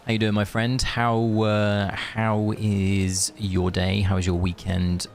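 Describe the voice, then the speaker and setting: A man's voice: lowish voice, monotone, slow, a little hiss or hum. A man streaming a game, chatting casually and rambling in friendly asides to his viewers. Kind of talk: casual live gaming stream chat. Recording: music behind the voice.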